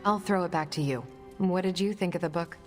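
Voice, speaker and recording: A woman's voice: lowish voice, fairly quick, a little mellow, faint music behind, natural voice. An actress playing an earnest, slightly nervous superhero woman in a video game cutscene. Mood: nervous, curious.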